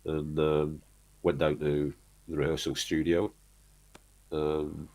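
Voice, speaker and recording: A middle-aged man from Manchester, telling long rambling stories from his life in a relaxed chat, with lots of restarts. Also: a bass voice, takes it slowly, soft background music. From Manchester, England. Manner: rambling storyteller, restarts often, chuckles. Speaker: guest, band singer. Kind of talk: casual interview chat.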